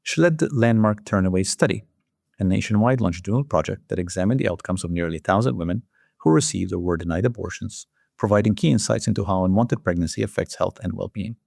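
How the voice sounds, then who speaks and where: A man hosting a formal interview, speaking in measured phrases as he delivers scripted introductions and questions. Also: low-pitched, creaky, darkish tone, no echo, clean studio sound. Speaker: host and interviewer, journal editor.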